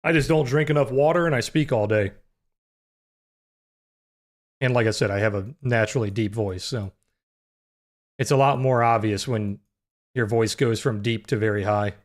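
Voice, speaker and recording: Young male streamer, deep voice prone to cracks, laid-back conversational delivery at a desk mic. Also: moderate pace, long pauses. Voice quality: naturally deep voice that sometimes cracks high. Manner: relaxed, filler-heavy, answers chat questions. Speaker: livestream trading host. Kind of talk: casual live-stream chat with chat readers.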